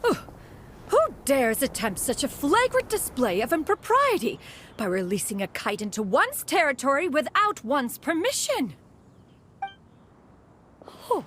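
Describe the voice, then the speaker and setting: A woman's voice: high-pitched, a bit bright, a little hiss or hum, full character voice. A woman voicing a proud, formal crane spirit in a game, who speaks in a lofty, archaic way. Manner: lofty, refers to herself as "one". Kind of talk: game voice acting.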